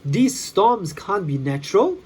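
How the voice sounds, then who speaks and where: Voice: mid-range voice, very animated, a little slow, a little mellow, slight background noise. A reader voicing a stern male crime-fighting hero, earnest and decisive, giving orders in a children's storybook. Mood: determined.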